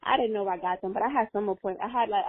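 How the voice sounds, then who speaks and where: A woman's voice: mid-range voice, fast talker, slightly rough, no echo. Earnest young woman on a tinny line. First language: Spanish. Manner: earnest, rambling, many "like" fillers. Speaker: interview guest, model. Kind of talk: casual interview. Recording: dry, tinny phone-like audio.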